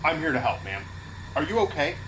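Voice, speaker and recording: Stubborn earnest officer in audio drama, really deep voice, noisy background. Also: female voice, sing-song, a little fast. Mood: businesslike. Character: dutiful, earnest, stubborn young security officer. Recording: clearly noisy ambience behind voice.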